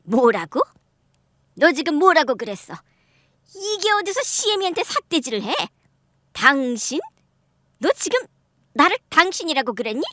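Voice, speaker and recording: Woman doing a shrill harsh mother-in-law, high voice, dry close sound, contemptuous scolding. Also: big pitch swings, normal speed, fairly bright tone, no room sound. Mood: enraged.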